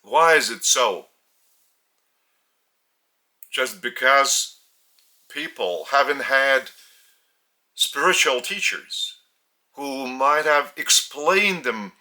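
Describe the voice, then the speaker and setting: Slow, bright-voiced Lithuanian man teaching. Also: medium pitch. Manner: halting, phrase-by-phrase delivery with pauses. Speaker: spiritual teacher. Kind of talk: slow spiritual teaching talk.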